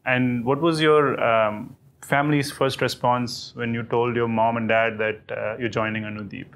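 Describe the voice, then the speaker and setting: An interviewer asking gentle, encouraging questions. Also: mid-range voice, level tone, normal speed.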